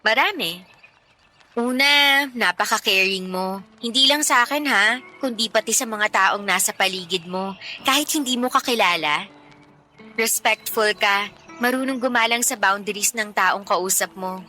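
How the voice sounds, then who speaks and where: A woman's voice: medium pitch, normal speed, sharp tone, close to an everyday voice. A woman playing a sweet, smitten young woman chatting playfully on a date in a radio drama. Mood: admiring. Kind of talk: audio drama dialogue. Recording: light music bed behind.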